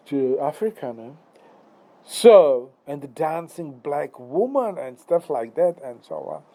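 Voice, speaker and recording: Czech guy in his 60s musing about philosophy, lots of uhs and "no?", a bit high-pitched. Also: dark tone. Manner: halting, many fillers and restarts, tag questions. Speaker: philosophical speaker. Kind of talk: rambling philosophical monologue.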